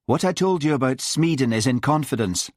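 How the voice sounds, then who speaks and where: A man's voice: medium pitch, expressive, brisk, dry recording, clean studio sound, pitched above the performer's own voice, lightly acted. A man voicing a gossipy, blunt hotel manager with a Scottish accent. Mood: alarmed.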